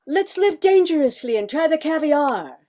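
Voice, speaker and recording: Woman acting a high-voiced, witty young lady professor. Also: animated, dark tone. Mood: polite, playful. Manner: dry, quick-witted retorts. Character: headstrong, witty woman professor nearing thirty, unmarried butterfly researcher. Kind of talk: radio comedy play acting.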